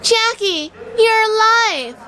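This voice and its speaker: A woman playing a wry, tough female sleuth in a hardboiled noir audio drama, telling it in measured, dramatic fashion. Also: extremely high pitch, animated, bright, forceful tone, exaggerated voice. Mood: urgent. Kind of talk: first-person noir audio drama narration.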